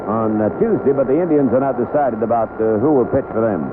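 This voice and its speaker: A man giving unhurried, measured live play-by-play of a baseball game as a radio announcer. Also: mid-range voice. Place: ballpark broadcast booth. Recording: noisy narrowband 1970s AM radio broadcast.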